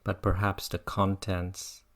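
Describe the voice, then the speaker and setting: A meditation teacher gently guiding listeners through a practice in an unhurried way. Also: sits low, level tone. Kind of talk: guided meditation talk.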